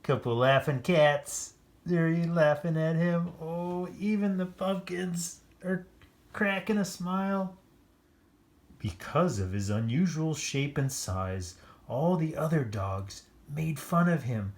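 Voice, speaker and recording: Story-time reader, slow pace, playful, animated picture-book narration, chatty exclaiming asides. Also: medium pitch.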